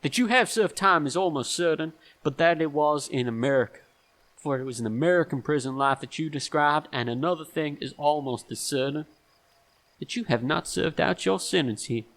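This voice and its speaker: An actor playing a stern, shrewd, self-righteous man in an audio stage drama, speaking in a deliberate, accusatory and emphatic way. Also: on the high side, fairly bright tone. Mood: accusing.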